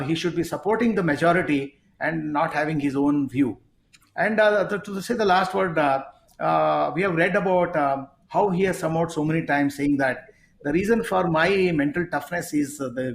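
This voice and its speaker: Halting Indian man in an echoey room. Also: a little high. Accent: Indian English. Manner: halting, many fillers, rising emphasis. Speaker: talk show host, sports psychology coach. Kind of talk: conversational interview talk. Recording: roomy echo.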